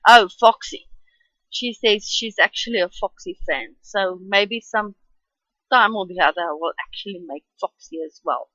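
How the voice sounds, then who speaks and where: A woman's voice: medium pitch, moderate pace, bright, forceful tone, dry recording, muffled recording. A woman with an Afrikaans accent, whose first language is Afrikaans, chats and rambles with many ums while narrating her drawing at home. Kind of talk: casual voice-over for a speed drawing.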